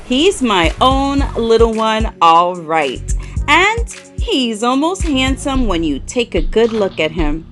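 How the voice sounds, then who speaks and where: A woman voicing a proud, protective but tired mother duck in a children's story, with drawn-out, emphatic phrasing. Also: fairly high pitch, very animated, a bit bright, soft background music, heavily acted. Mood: proud, fond. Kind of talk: children's storybook character reading.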